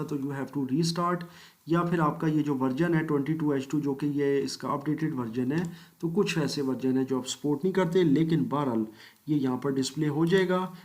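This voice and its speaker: A man teaching a screen-recorded software tutorial, walking through each step methodically with polite asides and English phrases mixed in. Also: fairly high pitch. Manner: mixes English phrases into speech. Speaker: computer course instructor.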